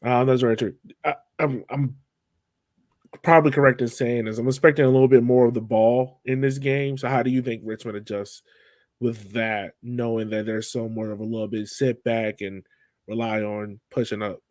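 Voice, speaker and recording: A man's voice: mid-range voice, little pitch movement, normal speed, a little mellow, no echo. A man hosting a podcast, chatting casually about sport in an informal way. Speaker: podcast host.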